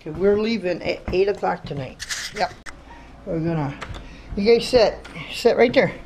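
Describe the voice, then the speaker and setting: A man's voice: sits high, expressive, normal speed, darkish tone. Man in his 50s, trucker vlog, rambling folksy chat, handheld camera, noisy background. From Ohio, United States. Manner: rambling, folksy, talks to viewers as "guys". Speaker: trucker vlogger. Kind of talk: casual vlog talk to camera. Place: farmhouse kitchen, driveway and truck cab in cold weather. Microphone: handheld action camera.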